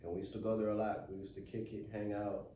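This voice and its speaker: An American man telling stories casually on a podcast in a laid-back, slangy, halting way. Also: on the low side, level tone, warm tone. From born in Memphis, USA. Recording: roomy, distant mic, faint background noise.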